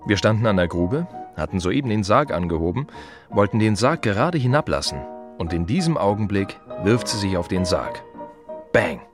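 Radio play monologue: plain working man, a gravedigger, low-pitched and expressive, dryly reflective, conversational, music underneath. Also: moderate pace. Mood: wry, reflective. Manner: short clipped phrases, repetitive, conversational, wry. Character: plain-spoken working-class male gravedigger, wry and reflective.

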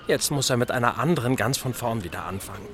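An actor playing a genial, blunt older married admiral in a German radio drama, talking in a chatty, emphatic, hesitating way. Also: male voice, mid-range voice, expressive, fast, fairly bright tone, a little hiss or hum, natural voice. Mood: musing, hearty.

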